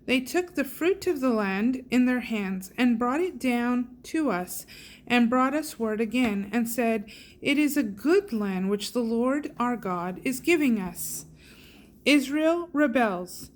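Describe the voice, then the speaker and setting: A woman reading scripture aloud in a measured way, sometimes stumbling over hard names. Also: fairly high pitch, animated. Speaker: Bible reader. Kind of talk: slow, careful scripture reading.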